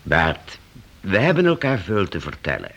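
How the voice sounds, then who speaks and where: A man's voice: sits low, wildly swooping pitch, normal speed, vocal fry, background noise, heavily acted. An actor playing a fatherly, reassuring older master in a radio drama, with earnest old-fashioned speech.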